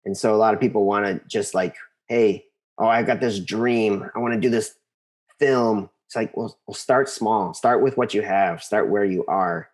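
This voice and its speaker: A man's voice: fairly low pitch, normal speed. An American man in his forties speaking casually as an interview guest, thinking aloud and repeating phrases for emphasis.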